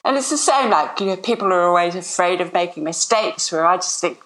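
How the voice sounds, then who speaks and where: A woman's voice: lowish voice, expressive, fairly quick, a bit bright, low-quality recording. An older retired woman with an Australian accent, chatting in an upbeat, rambling way as an interview guest. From Sydney, Australia. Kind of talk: casual interview chat.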